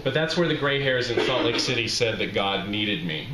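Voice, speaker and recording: A man's voice: medium pitch, lively intonation, moderate pace. A middle-aged American man from Utah at a microphone, reading a personal essay to a live room in a wry, self-deprecating way. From Utah, USA. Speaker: reading series host, memoirist. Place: small indoor bar room with brick walls. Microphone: handheld-style microphone on stand, close. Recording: roomy live venue, background noise.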